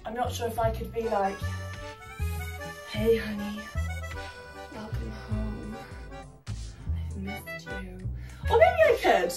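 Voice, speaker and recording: A woman's voice: mid-range voice, takes it slowly, long pauses. Giggly young woman, echoey room. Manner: giggly, animated self-talk. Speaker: lingerie reviewer. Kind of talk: casual try-on chat. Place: bright bedroom indoors. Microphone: camera across the room. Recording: echoey room, distant mic, music behind.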